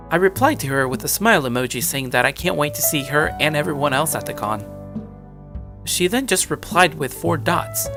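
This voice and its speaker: A man narrating a first-person story in a casual, conversational way, dropping wry asides as he goes. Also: mid-range voice. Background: background music.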